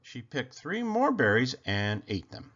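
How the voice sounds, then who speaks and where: A man reading a children's story aloud in an expressive, deliberate storytelling manner. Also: mid-range voice, highs cut off. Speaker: children's book reader. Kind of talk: scripted storybook narration, read aloud.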